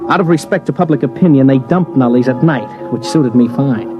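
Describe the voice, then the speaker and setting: An actor playing a brash, wry news reporter in old-time radio drama, casual and hard-boiled. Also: male voice, mid-range voice, a little fast, loud music behind the voice, lightly acted. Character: brash, cocksure male news reporter narrating his exploits. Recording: muffled old radio recording.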